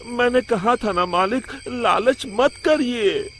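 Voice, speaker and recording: A man's voice: takes it slowly, a bit bright, exaggerated voice. A man voicing a naive, honest male servant in a cartoon story, pleading cautiously and deferentially with his master. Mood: worried pleading. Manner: pleading, deferential, high-voiced warnings.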